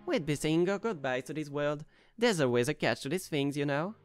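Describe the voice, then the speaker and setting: A man's voice: fairly high pitch, expressive, a little fast, clear, resonant tone, faint music behind, natural voice. A man voicing a sly, flippant old deity who schemes and teases, in an amateur comic dub. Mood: teasing.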